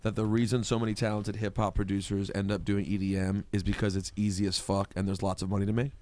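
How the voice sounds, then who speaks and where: A man's voice: fairly low pitch, little pitch movement, fast, warm tone, dry recording. A man from Washington, D.C., co-hosting a radio show with blunt, wisecracking interjections. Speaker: radio co-host. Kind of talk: casual radio chat.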